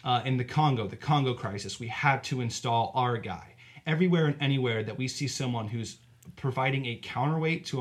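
Fast-talking opinionated man. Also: medium pitch. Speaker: podcast co-host. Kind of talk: casual podcast chat.

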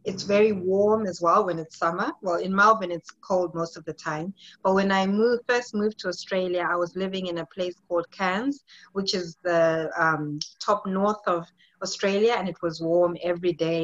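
A woman's voice: on the low side, normal speed, a bit bright, muffled recording. A woman in her late thirties from Zimbabwe, a fitness coach answering interview questions in a friendly, thoughtful way with some hesitation. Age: 30s.

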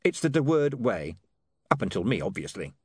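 A man's voice: mid-range voice, big pitch swings, moderate pace, slightly rough, dry recording, clean studio sound, voice pushed up. A man voicing an earnest, bitter young man of privileged birth in an audiobook, with a clipped, emphatic way of talking.

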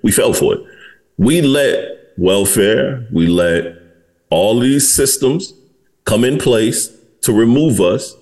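A man's voice: mid-range voice, expressive, takes it slowly, darkish tone. Blunt man in his forties. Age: 40s. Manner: blunt, candid, swears freely. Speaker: podcast host. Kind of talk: casual podcast conversation.

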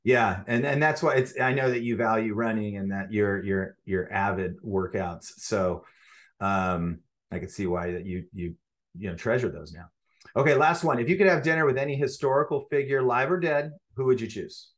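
A man hosting a podcast interview, relaxed and encouraging, asking short follow-up questions. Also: on the low side, moderate pace. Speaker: podcast host.

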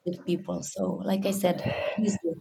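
A woman hosting a podcast, introducing her guest warmly and asking questions in a friendly, effusive way. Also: lowish voice, level tone, normal speed, vocal fry, slight background noise. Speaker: podcast host. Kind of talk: interview hosting.

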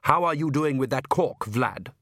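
A man voicing a cheerful, patronising aristocratic vampire father for a storybook, with smooth, deliberate, drawn-out delivery. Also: medium pitch, expressive, a bit bright, dry recording, studio quality, lightly acted. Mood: calm, coaxing.

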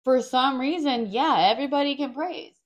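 A woman's voice: high voice, normal speed, noisy background. A woman in a casual faith discussion, speaking in an affirming, reflective and somewhat preacherly way.

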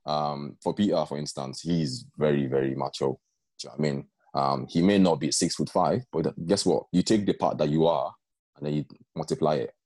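Very deep-voiced man, earnest and chatty. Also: fairly quick, darkish tone, husky, dry recording. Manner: earnest, storytelling, keeps asking "do you know what I mean". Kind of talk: casual group chat.

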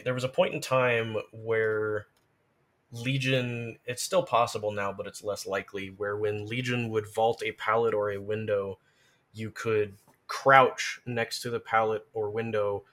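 A man's voice: fairly low pitch, fairly bright tone. A man talking as a podcast guest, casually explaining things in detail like someone who knows the subject well. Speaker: podcast guest, game lore and gameplay expert.

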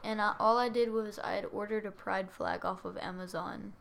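A woman's voice: medium pitch, steady tone, moderate pace, creaky, fairly bright tone. A teenage girl co-hosting a podcast in casual chat, talking with a slight stutter and laughing easily. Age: teens. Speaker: podcast co-host.

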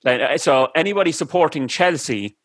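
A man's voice: fairly high pitch, lively intonation, a little fast, no echo. A man with an Irish accent, chatting on a podcast in a rambling way with lots of fillers. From Ireland. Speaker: podcast host. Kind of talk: casual chat.

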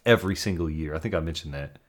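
Very low-voiced male podcast host. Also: fast talker. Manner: dry joking asides. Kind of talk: casual chat.

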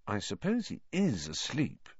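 A man voicing an excitable, blunt, casual schoolboy in an audiobook story. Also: mid-range voice, normal speed, no echo, highs cut off, voice pushed up. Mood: awkward. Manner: casual, off-hand, easily indignant. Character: excitable, blunt schoolboy, dreamy about sweets.

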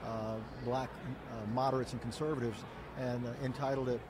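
Quick-talking male interview host outdoors. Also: medium pitch, little pitch movement, background noise. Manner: measured, halting with fillers. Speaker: guest host. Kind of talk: conversational interview. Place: outdoor event.

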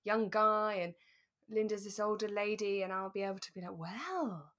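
A young British woman co-hosting a podcast in relaxed, reflective, conversational chat. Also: medium pitch, normal speed. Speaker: podcast co-host. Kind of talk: relaxed chat reviewing a radio drama.

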